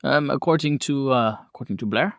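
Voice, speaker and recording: A man playing a courteous, frank 1920s doctor who talks in a hesitant, measured, polite way. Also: mid-range voice, creaky, a bit bright, dry recording, clean studio sound. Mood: candid, measured.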